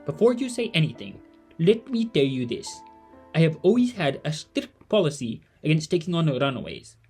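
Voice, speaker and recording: An actor playing a kindly, earnest old mentor in a family audio drama, speaking in formal, deliberate phrases. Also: medium pitch, darkish tone, slightly rough.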